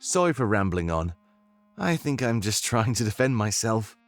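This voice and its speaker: A man's voice: lowish voice, very animated, normal speed, no echo, soft background music. A man voicing an earnest rebel guide in a visual novel game, conscience-stricken but with a playful streak, talking in a musing, conversational way. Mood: remorseful, musing.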